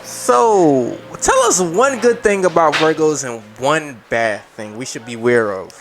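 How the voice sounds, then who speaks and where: Give me a loose, joking male interviewer with clear music playing in the background. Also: on the high side, animated, measured pace, a bit bright. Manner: loose, joking, slangy, talks to the viewers. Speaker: interviewer host. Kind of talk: casual interview hosting.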